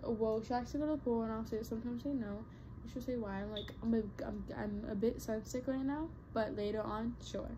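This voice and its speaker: A woman's voice: fairly high pitch, steady tone, a little fast, background noise. A young woman vlogger chatting casually to her viewers, rambling with lots of fillers and restarts. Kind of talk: casual talk to camera.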